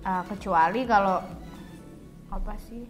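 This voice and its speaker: A young woman talks at a middle pitch in a casual, explanatory way. She addresses viewers informally, like friends. Music plays faintly behind her. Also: slow pace. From Java, Indonesia. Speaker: co-host of a language vlog. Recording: background music.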